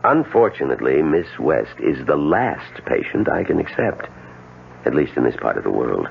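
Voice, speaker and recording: Very deep, formal radio drama doctor. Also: male voice, sing-song, vocal fry, breathy edge, exaggerated voice. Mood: reluctant, firm. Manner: formal, deliberate, polite. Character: calm, measured male doctor offering psychedelic suggestion cures. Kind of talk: 1970s radio drama acting. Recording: tinny, band-limited old radio broadcast, faint hiss.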